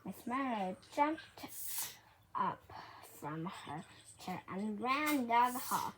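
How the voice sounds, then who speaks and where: Slow, animated woman reading a storybook. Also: a little high, noisy background. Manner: halting, deliberate reading with stumbles. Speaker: storybook reader. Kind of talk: children's book read-aloud.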